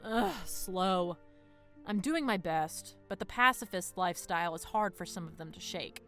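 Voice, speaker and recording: Lady voicing a blunt impatient dragon fighter girl, very lively pitch, bit of music behind. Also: moderate pace. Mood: frustrated. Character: blunt, brash female dragon warrior princess. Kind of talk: audio drama acting.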